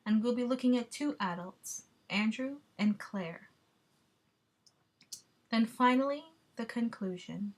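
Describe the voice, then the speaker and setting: A woman giving a measured, explanatory class presentation, with the odd filler. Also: a little high. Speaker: student presenter.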